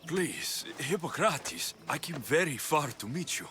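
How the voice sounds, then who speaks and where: Bright, forceful Spartan mercenary. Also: male voice, fairly high pitch, lively intonation, unhurried, faint music behind. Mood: earnest. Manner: blunt, sardonic. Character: blunt, determined male Spartan mercenary searching for his mother.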